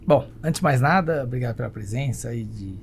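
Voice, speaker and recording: Older brazilian guy guesting on a podcast, talks quick, lots of fry, bit of room noise. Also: mid-range voice, lively intonation. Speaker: podcast guest, travel company executive. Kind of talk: conversational storytelling. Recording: clear background noise and ambience.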